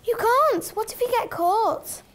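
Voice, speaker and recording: A woman's voice: very high voice, animated, unhurried. A woman playing a keen, curious schoolgirl friend in a radio play.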